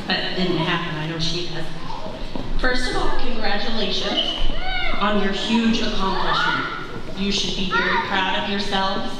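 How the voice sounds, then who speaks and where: A woman with a strained, worn voice speaks heartfelt, personal words at a graduation. She is heard from far off in a large school hall with a long, echoing reverb. A clear murmur of room noise sits behind her. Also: medium pitch. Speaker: scholarship presenter. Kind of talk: graduation speech. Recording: echoey gym from far off.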